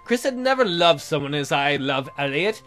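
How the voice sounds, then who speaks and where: Man putting on a high-voiced lovesick young woman. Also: very animated, moderate pace, a bit bright, faint music behind. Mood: wistful, devoted. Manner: melodramatic, pining. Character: lovesick, miserable young woman narrating her romance.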